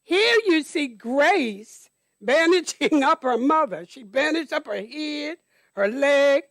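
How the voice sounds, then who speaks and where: A woman storyteller reading aloud to children at church, deliberately, with explanatory asides. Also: sits high, expressive, no echo, studio quality. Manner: deliberate, explains details to young listeners.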